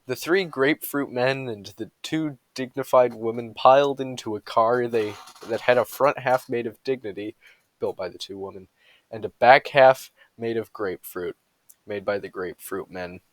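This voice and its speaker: A man's voice: medium pitch, moderate pace, dry recording, poor audio. A man reading humorous bedtime stories aloud with a mock-serious storytelling delivery.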